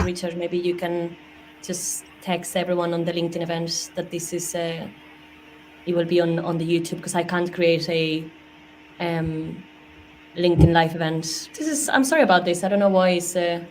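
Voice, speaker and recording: A woman's voice: fairly low pitch, fairly even intonation, normal speed. A woman moderating an online panel, hesitant, with many fillers. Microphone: video call. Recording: slightly noisy video-call audio.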